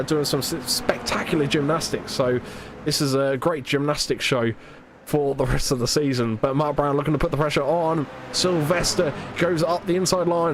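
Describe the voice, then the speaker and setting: A man's voice: a little high, noisy background. A British man commentating alone on a live sim race, chatty and self-deprecating, his voice a bit stuffed up from hay fever. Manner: chatty, self-deprecating, quick play-by-play with fillers.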